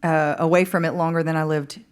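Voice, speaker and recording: A woman singer-songwriter from the Upper Midwest, answering interview questions on stage in a relaxed, hesitant way. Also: deep voice, fairly quick, clean tone. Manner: relaxed, hesitant, laughs easily. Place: stage in a concert hall.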